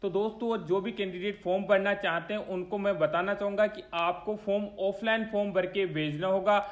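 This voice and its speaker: A man's voice: high voice, fairly even intonation, a little fast. A man with an Indian accent hosting an explanatory voice-over tutorial, guiding listeners step by step. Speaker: tutorial host. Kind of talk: explanatory voice-over guide to filling in a form.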